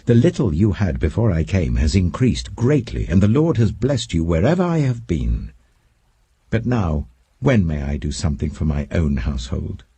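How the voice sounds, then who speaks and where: Man playing an earnest, husky British herdsman. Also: sits low, big pitch swings, moderate pace, warm tone, exaggerated voice. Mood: earnest, pleading. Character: earnest, firm herdsman bargaining for wages.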